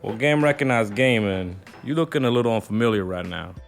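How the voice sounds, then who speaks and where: A man's voice: lowish voice, animated, brisk, soft background music. A man playing a proud, laid-back neighborhood doctor in an audio drama, bantering in slang with some indignation. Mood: indignant.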